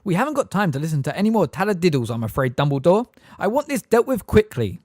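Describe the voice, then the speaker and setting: A man's voice: a little high, big pitch swings, moderate pace, darkish tone, no echo, studio quality, pitched above the performer's own voice. A man reading an audiobook voices a plump, pompous middle-aged official who talks in a smirking, lofty, snappish way. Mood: dismissive. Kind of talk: audiobook character voice.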